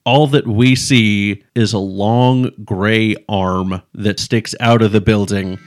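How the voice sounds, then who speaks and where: A man acting as game master, narrating scenes and questioning players in a relaxed, wry way. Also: fairly low pitch, slow.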